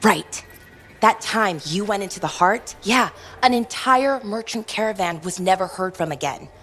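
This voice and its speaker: A woman plays an impulsive young guard in a game cutscene. Her lively, mid-pitched voice is eager and brisk, with light music behind. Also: natural voice. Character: feisty, impulsive young female city guard. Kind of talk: video game cutscene acting. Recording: faint background music.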